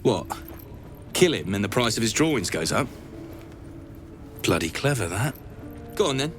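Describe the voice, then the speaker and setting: Cheeky smuggler in a game, voice goes up and down a lot, music behind him. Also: male voice, lowish voice, big pitch swings, normal speed. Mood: shrewd haggling. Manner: cheeky, colloquial, haggling. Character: gruff roguish male smuggler hawking a captive painter. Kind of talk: video game character dialogue. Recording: game dialogue over clear background music.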